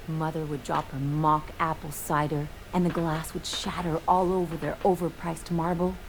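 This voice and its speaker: An actress playing a brash, bitter young adventurer in a video game, with a sardonic, theatrical way of telling stories. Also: female voice, fairly low pitch, slight background noise, rough recording. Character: brash young adventurer woman, bitter, dramatic, estranged from parents.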